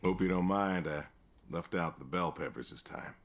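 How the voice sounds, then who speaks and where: An actor playing a weary, stubborn old American pig farmer in a video game, speaking in a subdued, laconic, plain way. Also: male voice, moderate pace. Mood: reflective, matter-of-fact. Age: older. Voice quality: low, gravelly. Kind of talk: naturalistic video game dialogue.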